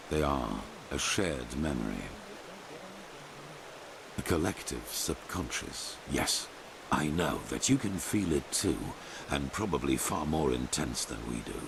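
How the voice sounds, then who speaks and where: Grave old order leader for a fantasy game: gravelly, expressive, formal and measured. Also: male voice, a bass voice, background noise, full character voice. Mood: solemn, haunted. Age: older. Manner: solemn, formal, measured storytelling. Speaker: video game character. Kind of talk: dramatic fantasy game dialogue.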